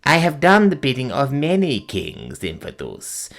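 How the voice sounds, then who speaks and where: A man voicing an ancient, wicked witch who speaks slowly in a piping, gloating old-fashioned way, for an audiobook. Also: mid-range voice, wildly swooping pitch, gravelly, voice pushed up, exaggerated voice. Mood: sneering. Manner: piping, gloating, slow archaic speech. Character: ancient wicked female witch, shrivelled and cackling. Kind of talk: audiobook character voice.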